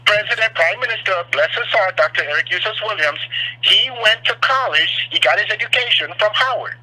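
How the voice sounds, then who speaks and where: A man's voice: sits high, lively intonation, a little fast, bright, forceful tone, breathy edge. Emphatic Trinidadian man on a phone line. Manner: emphatic, repeats himself. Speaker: caller. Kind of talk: call-in radio chat.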